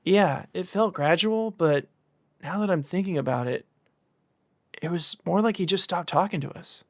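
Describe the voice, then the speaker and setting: A man's voice: sits high, normal speed. An actor playing a skeptical, irritable teenage boy in an audio drama, blunt and questioning. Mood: reflective. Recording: music bed under the voice.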